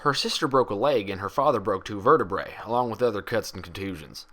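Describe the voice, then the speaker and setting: A man's voice: lowish voice, quick pace, bright, forceful tone. A man voicing a frank, proud, friendly female pony doctor with a folksy way of talking, in a story reading. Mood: frank, explaining.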